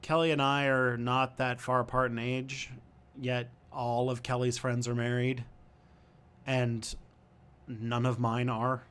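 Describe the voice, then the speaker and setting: A man's voice: medium pitch, little pitch movement, takes it slowly, a bit bright, clear, resonant tone. Hesitant young man thinking aloud. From Canada. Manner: thinking aloud, hesitant with many ums and restarts. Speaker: livestream host answering viewer questions. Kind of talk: casual livestream Q&A.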